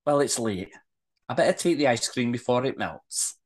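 Feisty Scottish woman for a drama read-through, acted by a woman, indignant, plain-spoken and sharp-tongued. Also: rumbling low, animated, moderate pace, pitched below the performer's own voice. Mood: blunt. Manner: blunt, scolding, colloquial. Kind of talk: amateur script read-through, acted dialogue.

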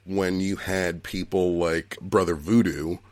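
A man's voice: sits low, fairly even intonation, slow pace, a little mellow, dry recording. A man in his forties co-hosting a podcast, chatting casually, laughing often and restarting his sentences. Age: 40s. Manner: laughs often, thinks aloud with many restarts. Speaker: podcast co-host. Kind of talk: casual chat.

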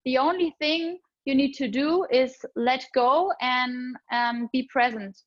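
A woman's voice: sits high, slow pace, no room sound, lo-fi sound. A young woman talking casually and enthusiastically about meditation routines, with frequent fillers. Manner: enthusiastic, spiritual, chatty with fillers. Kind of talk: casual conversation.

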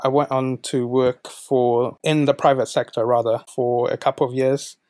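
A man's voice: medium pitch, little pitch movement, normal speed, a little mellow, no room sound, studio quality. A man in his late twenties from Zambia who moved to the UK as a boy, answering interview questions conversationally. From born in Zambia, moved to the UK at twelve. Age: late 20s. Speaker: podcast guest, volunteer manager.

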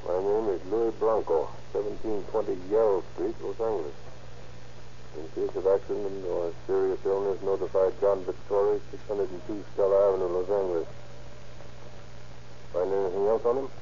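An actor playing a brisk, commanding homicide captain in old radio crime drama style, clipped and authoritative. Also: male voice, low-pitched, steady tone, warm tone. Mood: probing. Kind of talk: 1930s-40s radio crime drama acting. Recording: muffled, noisy old-time radio recording.

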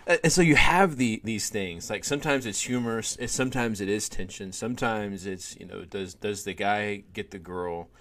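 A man with a fairly low voice hosts a podcast, spinning stories with many "um"s and lively bursts of emphasis. He sounds middle-aged and talks loosely, the way a wedding officiant chats with a friend. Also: normal speed, hardly any pauses. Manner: animated storyteller, many fillers. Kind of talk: conversational podcast storytelling.

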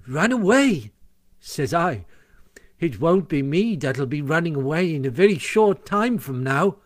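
A man voicing an excitable, boastful little mole in a storybook, telling his tale with eager glee. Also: a little high, measured pace. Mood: boastful, excited. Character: chirpy, boastful, excitable small male mole.

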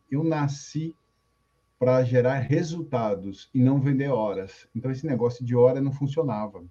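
Man, 50s, guest coach: dark mellow husky voice, relaxed storyteller, dull lo-fi stream audio. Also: medium pitch. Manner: easygoing storytelling with fillers. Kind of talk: casual interview on a live stream.

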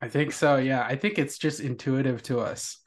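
A man from India with an Indian English accent, hosting a casual interview podcast in a relaxed, friendly way. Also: medium pitch, normal speed. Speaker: podcast host.

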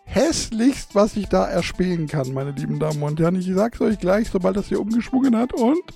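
A man's voice: sits high, lively intonation, brisk, creaky, mellow, faint music behind. Lisping man chatting into a mic. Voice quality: lisp from a tooth gap. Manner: chatty, laughs often, self-mocking. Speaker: podcast host. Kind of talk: casual solo podcast chat. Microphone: studio microphone.

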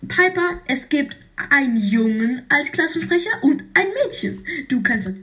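A woman voicing a brisk schoolteacher who runs a class election in a storybook tale. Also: sits high, expressive, a bit bright, pitched above the performer's own voice. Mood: cheerful. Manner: brisk, matter-of-fact.

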